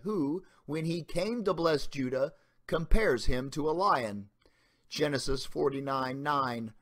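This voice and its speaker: A man giving a dramatic reading of an old sermon as a pastor, in solemn, deliberate, emphatic formal oratory. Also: mid-range voice, fairly bright tone.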